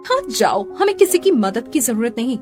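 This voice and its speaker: Woman voicing a high, proud young sister-in-law. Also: big pitch swings, moderate pace, a little mellow. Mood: haughty, dismissive. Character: proud young sister-in-law designer, haughty, later anxious. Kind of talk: melodramatic Hindi cartoon story dialogue. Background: music.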